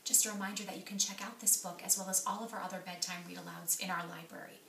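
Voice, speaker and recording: A woman's voice: lowish voice, fairly even intonation, fast talker, gravelly, bright, forceful tone, slight background noise. A woman reading a children's picture book aloud as a storyteller.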